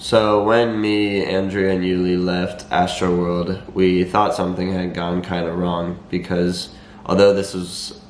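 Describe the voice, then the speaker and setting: A young man speaks in a low voice that is slightly raspy from a cold. He explains things to viewers in a matter-of-fact vlog style with little change in pitch. The room is echoey, with a faint noise behind him. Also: flat delivery, a little slow. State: has a cold. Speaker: vlogger. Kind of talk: talking to camera, explanatory vlog.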